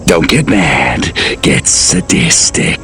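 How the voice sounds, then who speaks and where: Mocking alien tough guy, video game, slow drawl, gravelly, music behind. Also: extremely low pitch, big pitch swings, fairly bright tone, full character voice. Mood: angry, sadistic. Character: small, sarcastic, menacing male alien invader. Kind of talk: video game cutscene acting.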